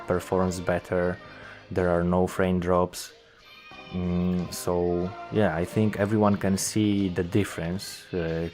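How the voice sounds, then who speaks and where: A man's voice: deep voice, a little mellow. A young man from Poland with a Polish accent, speaking English as a second language, commenting on a game's updates with many hesitant filler sounds. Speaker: gaming YouTuber.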